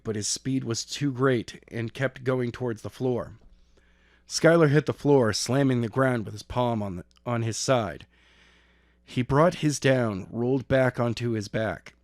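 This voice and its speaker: A man's voice: mid-range voice, darkish tone, no room sound, studio quality. A man reading an action story as an amateur narrator, stumbling and repeating phrases as he goes. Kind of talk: scripted narration of an action novel.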